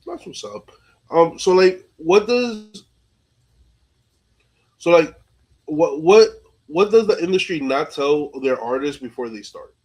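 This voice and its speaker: A man's voice: a little high, expressive, slow, long pauses, a little mellow, low-quality recording. A man hosting an interview podcast in casual, slangy speech, often dropping in "like" and "you know". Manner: informal, peppered with "like" and "you know". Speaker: podcast host.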